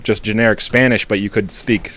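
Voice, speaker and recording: Low-voiced man hosting a casual chat. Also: steady tone, brisk, a bit bright. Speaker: host.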